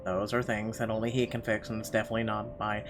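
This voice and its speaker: A man's voice: on the low side, level tone, fast talker, soft background music. A man reading first-person narration from a game aloud in a dramatic storytelling style. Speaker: gaming channel host reading a visual novel aloud.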